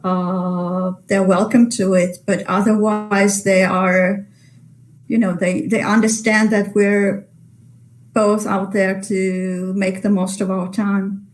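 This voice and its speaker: A woman, by mother tongue, answering webinar questions. She talks slowly and carefully, pausing and restarting her sentences as she works out her answers. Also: medium pitch, level tone, a little mellow, rough recording. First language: Italian. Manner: measured, hesitant, many restarts. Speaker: guest translator.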